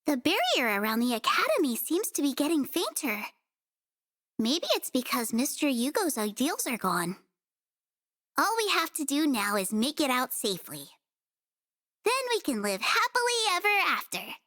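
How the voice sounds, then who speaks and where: Hopeful young girl for anime game: high, bright, chirpy and naive. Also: moderate pace, exaggerated voice. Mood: excited, hopeful. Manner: chirpy, excitable. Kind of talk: anime-style video game voice acting.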